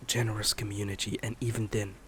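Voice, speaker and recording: Soft-spoken young man with clear background noise. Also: fairly low pitch, little pitch movement, fast. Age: 20s. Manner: candid, swears casually, many fillers and restarts; drifts between soft speech and whisper. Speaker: streamer. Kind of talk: soft-spoken rambling vlog.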